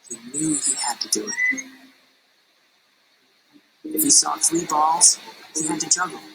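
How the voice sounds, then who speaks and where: A woman teacher reading a picture book aloud in an expressive storytelling voice, slowing down on dramatic phrases. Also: deep voice, rough recording. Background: music.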